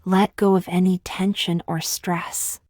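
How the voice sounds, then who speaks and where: Woman leading a guided meditation, soft breathy almost whispery voice, slow n soothing, very dry close sound. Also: on the low side, level tone, no echo. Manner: slow, gentle and soothing, with long pauses. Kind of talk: scripted guided meditation narration.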